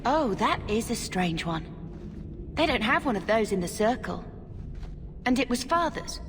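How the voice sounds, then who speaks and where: An actress playing a curious, chatty young mage companion in a video game. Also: female voice, on the high side, big pitch swings, unhurried, background noise. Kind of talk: dramatic video game acting.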